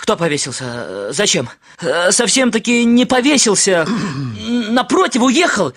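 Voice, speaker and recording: An excitable, naive young man in a Russian radio play. His voice is high for a man and very animated. He stammers and hesitates between eager exclamations. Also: unhurried, exaggerated voice. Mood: chatty, amused. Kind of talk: Russian radio drama acting, lively dialogue.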